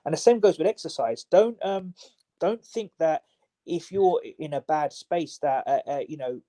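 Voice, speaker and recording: A man's voice: fairly high pitch, normal speed, mellow. A man, a personal trainer, answering interview questions in a chatty way, with many fillers and restarts. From Southwest London.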